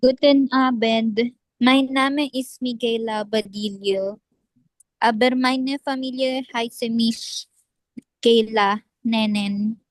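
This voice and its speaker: Slow, careful Filipino woman in her twenties. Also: on the high side, steady tone, dry recording, low-quality recording. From Philippines. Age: 20s. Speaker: student.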